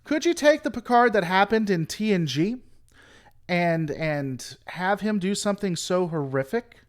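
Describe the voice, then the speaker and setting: A man's voice: sits high, lively intonation, measured pace. A man hosting a casual livestream, rambling through an opinionated critique and answering chat. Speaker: livestream host.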